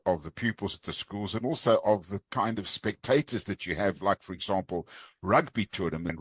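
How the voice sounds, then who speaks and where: A man's voice: deep voice, no echo. A man hosting a sports podcast, asking long, rambling questions with many asides. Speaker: podcast host. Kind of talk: sports interview.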